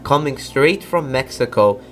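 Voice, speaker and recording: A teenage man reading scripted narration as the presenter of a high school show. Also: animated, measured pace. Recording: music playing under the voice.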